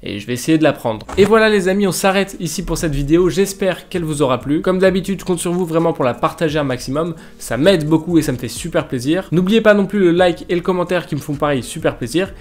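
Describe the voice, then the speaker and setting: A man's voice: on the high side, quick pace, few pauses. Chatty young French man telling a story. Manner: casual, chatty, addresses viewers directly. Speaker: YouTuber narrating a story. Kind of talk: storytelling vlog narration. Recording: faint music bed behind voice.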